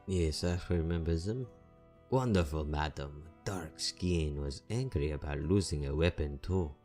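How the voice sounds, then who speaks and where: A man playing a playful goblin chef with a French accent, teasing and relaxed, in a fantasy audiobook. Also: very deep voice, a little slow, faint music behind. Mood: casual. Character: playful male goblin chef with pointed fangs. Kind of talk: audiobook character acting.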